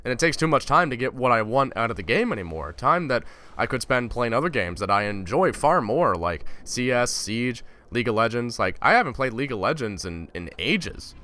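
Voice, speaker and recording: A man's voice: mid-range voice, sing-song, brisk, sharp tone. A man giving casual, ranting commentary as a gaming YouTuber, blunt and profane, with stumbling repeats as he talks.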